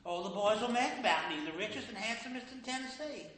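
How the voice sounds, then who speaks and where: A man's voice: sits high, expressive, fast, fairly bright tone. A man playing a fussy, proud old grandmother in a stage play, genteel and scolding. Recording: echoey hall with faint noise.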